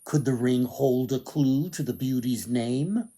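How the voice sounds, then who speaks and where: A man telling a folktale dramatically, with theatrical, deliberate phrasing. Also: mid-range voice, warm tone. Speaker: author, storyteller.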